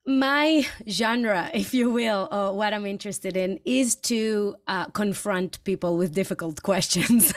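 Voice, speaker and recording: A woman who grew up in Israel speaks at an unhurried pace, pausing often to gather her thoughts. The sound is dry and close, with no room around it. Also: medium pitch, no room sound. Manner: thoughtful, hesitant with many ums. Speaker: guest filmmaker. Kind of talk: interview answers.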